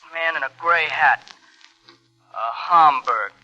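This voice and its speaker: A man playing a dazed, shaken plainclothes officer, speaking haltingly in a 1950s radio crime drama. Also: on the high side, pushed and bright, faint music behind, full character voice. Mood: dazed, strained. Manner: halting, slow. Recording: muffled old radio broadcast recording.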